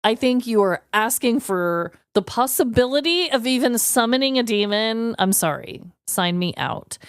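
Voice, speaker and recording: An expressive woman chats casually as a podcast co-host, with pitch that moves up and down in a lively way. Her voice is clean and smooth, recorded dry and close. She is playful, emphatic and loose with swearing. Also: moderate pace, no echo. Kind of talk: casual bantering chat.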